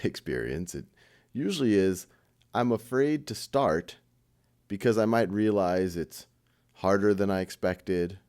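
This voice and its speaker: A man leading a guided meditation in an informal, conversational style, with a gentle, encouraging manner and light self-deprecating humour. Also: low voice.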